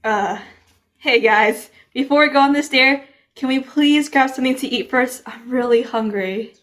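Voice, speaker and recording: A woman's voice: high-pitched, moderate pace, a bit bright. A woman playing an easygoing, unfazed young girl with a casual manner in an amateur audio drama.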